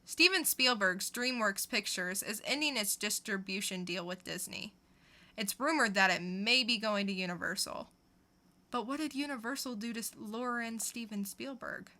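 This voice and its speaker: Bright, crisp-edged sarcastic woman co-host. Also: mid-range voice, moderate pace. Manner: dry, sarcastic punchlines. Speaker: podcast co-host. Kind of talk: scripted comedic pop-culture news delivery.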